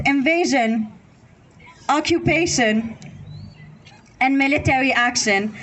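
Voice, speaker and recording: A woman's voice: sits high, slight background noise, low-quality recording. A woman from Lebanon giving a prepared speech at an outdoor rally, listing points one after another in an earnest way. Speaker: rally speaker. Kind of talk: prepared speech read to a crowd. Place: outdoor public square.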